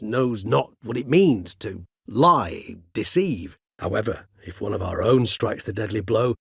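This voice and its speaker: Game role: elderly vampire gentleman-scholar. Measured, bookish, gently weary; a touch husky, recorded close and dry. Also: lowish voice, no echo, heavily acted. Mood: solemn. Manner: measured, courtly, bookish phrasing with thoughtful hums. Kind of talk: video game character dialogue.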